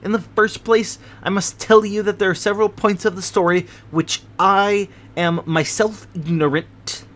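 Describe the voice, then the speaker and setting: A fussy, nervous gentleman with refined airs, as a male reader puts him on. He speaks in a prim, precious, fretful manner, in a slightly high voice. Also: steady tone, normal speed. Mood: anxious. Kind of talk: audiobook character voice.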